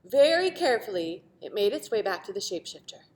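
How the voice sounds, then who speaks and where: A woman's voice: medium pitch, very animated, fast talker, darkish tone. A woman reading a children's picture book aloud like a teacher, with dramatic emphasis and asides to young listeners. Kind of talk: expressive children's storybook read-aloud.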